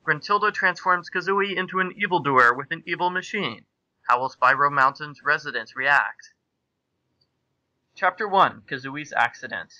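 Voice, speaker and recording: A man's voice: a little high, expressive, normal speed, sharp tone, no echo, dull audio. A man hosting a podcast, reading comic fan fiction aloud in a mock-grandiose, theatrical way.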